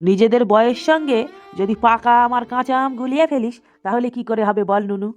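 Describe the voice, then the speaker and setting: A woman's voice: on the high side, brisk, soft background music, close to an everyday voice. A woman voicing a self-pitying, testy old village man who grumbles repetitively in a comedy cartoon, with faint noise. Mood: chiding. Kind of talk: comic cartoon dialogue.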